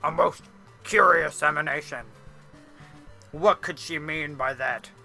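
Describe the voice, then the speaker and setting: A gruff bear shopkeeper from a storybook, as a reader puts him on. He speaks with formal, old-fashioned grandeur and a forceful, pleased curiosity. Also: medium pitch, takes it slowly, soft background music, heavily acted. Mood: puzzled.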